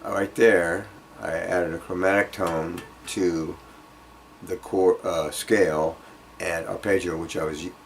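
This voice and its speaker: A man giving an informal guitar lesson, speaking haltingly as he thinks aloud and demonstrates. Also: deep voice, fairly even intonation, gravelly, slight background noise. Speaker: guitar teacher. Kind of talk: informal instructional music lesson. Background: acoustic guitar playing.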